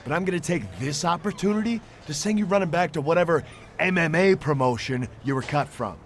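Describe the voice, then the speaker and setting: A man's voice: on the high side, brisk, lightly acted. A man playing an arrogant star wrestler in a video game cutscene, condescending and scornful. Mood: scornful, threatening. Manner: cocky, condescending.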